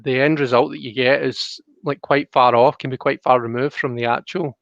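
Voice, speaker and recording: A man's voice: medium pitch, fairly even intonation, normal speed, gravelly, a bit bright, no echo. Man hosting in dull, dry lo-fi audio. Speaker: live stream host and card reader. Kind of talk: casual talk, reading out live chat comments. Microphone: online call microphone.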